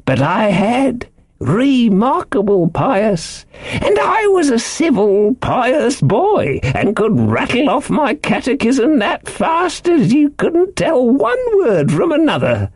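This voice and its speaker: A man's voice: very high-pitched, wildly swooping pitch, takes it slowly, a little mellow, breathy edge, no room sound, studio quality, voice pushed up, full character voice. A man voicing a marooned, half-crazed sailor for an audiobook, childishly sly and pious, wheedling and rambling in rustic seafaring dialect. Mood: confiding, rambling. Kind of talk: audiobook character voice.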